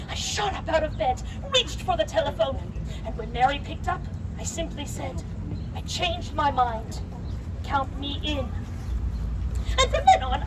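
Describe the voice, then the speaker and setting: A woman playing an elderly, wry and proud lady doctor in a theatrical puppet-show monologue, chatting to the audience with rhetorical asides. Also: very high-pitched. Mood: resolute. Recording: distant mic, loud outdoor street noise.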